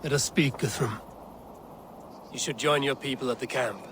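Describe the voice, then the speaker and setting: A man performs a Viking warrior leader for a dramatic video game. He speaks with measured resolve in formal, old-world phrasing, at a middling pitch. Outdoor ambience is clearly heard behind him. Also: noisy background, close to an everyday voice. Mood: commanding, calm.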